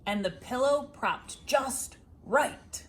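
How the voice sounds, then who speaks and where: A woman reading a children's picture book aloud expressively, with dramatic, deliberate phrasing and emphasised words. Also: high voice, a bit bright. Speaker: read-aloud storyteller.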